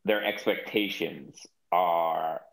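Very deep, slow-talking man. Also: steady tone, gravelly, a bit bright, low-quality recording. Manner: blunt, halting with fillers. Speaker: creative guest. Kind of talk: panel discussion.

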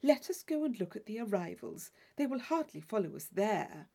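A woman's voice: medium pitch, very animated, moderate pace, creaky, dark tone, breathy edge, exaggerated voice. A woman voicing an affected, gushing young society lady in an audiobook of a classic novel, with theatrical emphasis. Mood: mock indignant.

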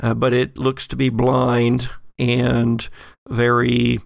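A man narrating a tabletop horror game as game master, in halting, descriptive phrases. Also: mid-range voice, completely flat delivery, creaky, no echo, studio quality.

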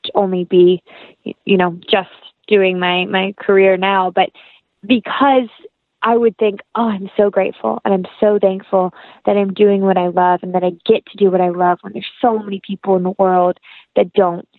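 A woman's voice: mid-range voice, flat delivery, normal speed, dry recording, narrow, tinny audio. Earnest young American woman in close, dry sound. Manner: earnest, effusive, rambling. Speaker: actress, interview guest. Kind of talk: casual interview chat, anecdotal.

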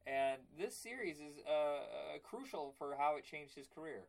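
A man with a raspy voice hosting a casual cartoon podcast, telling stories and acting out quotes. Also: a little high, normal speed. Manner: animated storytelling, acts out quotes. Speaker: podcast host. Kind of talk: casual chat.

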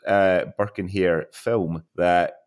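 Low-voiced co-host. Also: male voice, steady tone, takes it slowly, a little mellow. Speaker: podcast co-host. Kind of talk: casual podcast chat.

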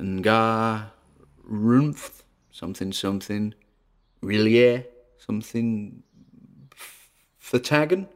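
Casting: male actor for uneasy paranormal investigator in an audio drama, low creaky voice, hesitant found-tape monologue with muttered asides. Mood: unsettled, baffled. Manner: hesitant, muttering observations with sighs and trailing asides.

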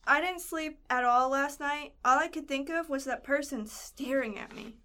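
A woman's voice: high-pitched, normal speed, noisy background, lightly acted. A woman playing an alarmed, stubborn girl in a haunted house, emphatic and insistent, in a student audio drama. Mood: fed up. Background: faint music.

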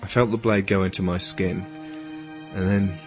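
A man's voice: low-pitched, steady tone, moderate pace, lightly acted. A man playing an earnest, troubled schoolboy in an audio drama. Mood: anxious, confiding. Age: teenage schoolboy figure. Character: earnest, troubled schoolboy pleading against violence. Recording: music under the voice.

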